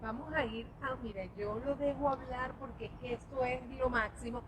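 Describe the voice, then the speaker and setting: A woman's voice: high-pitched, steady tone, normal speed. A woman hosting a podcast, enthusiastic and effusive as she questions her guest. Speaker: podcast host. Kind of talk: podcast interview.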